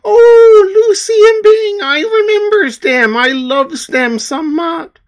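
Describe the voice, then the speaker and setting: A man voicing a sentimental, doting older mother from Newfoundland, with a Newfoundland accent and a wailing, drawn-out delivery. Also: very high-pitched, voice pushed up. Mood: sentimental, fond.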